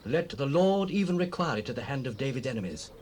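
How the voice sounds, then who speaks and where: A man's voice: mid-range voice, sing-song, fast talker, darkish tone, lightly acted. A male actor plays a young biblical prince, a devoted and loyal friend. He declaims solemnly and reassuringly with wide rises and falls, on a faintly noisy cassette with ambience behind. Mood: solemn vow. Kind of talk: dramatized scripture, audio drama acting.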